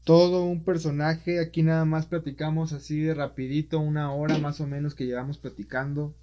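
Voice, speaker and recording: A man's voice: a little high, little pitch movement, a little fast. A man hosting a podcast interview, asking questions in a friendly, conversational way. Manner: friendly, conversational, wraps up warmly. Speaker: podcast host. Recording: dull lo-fi audio.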